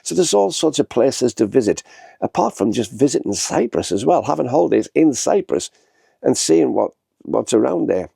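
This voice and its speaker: A man, his voice thick and blocked up from a head cold. His tone is dark and gravelly, and it sounds close and dry. He rambles chattily to the camera and keeps restarting his sentences. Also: mid-range voice, big pitch swings, normal speed, dry recording. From northern England. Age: 60s. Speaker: vlogger. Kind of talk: casual talking-to-camera vlog. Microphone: small handheld camera.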